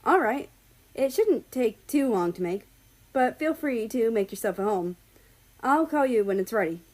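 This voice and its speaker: A woman's voice: a little high, lively intonation, moderate pace, a little mellow, voice pushed up. An eager, friendly guy in a storybook, acted out by a woman reader. He talks chattily and is keen to please, with a nervous edge. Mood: eager, welcoming. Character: eager, friendly, nervous young male character.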